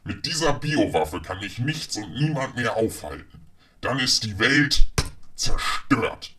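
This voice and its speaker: A man's voice: medium pitch, sing-song, takes it slowly, sharp tone, heavily acted. A man playing a gloating bioterrorist villain in an educational film, menacing and triumphant, delivering a melodramatic monologue. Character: gloating male bioterrorist villain bent on destroying the world. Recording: distant, off-mic, music underneath.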